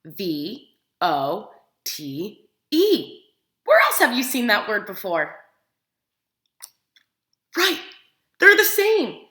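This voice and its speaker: Casting: woman preschool teacher, bright high voice, expressive picture-book read-aloud with questions to little listeners, recorded in an echoey room. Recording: roomy, echoing room sound.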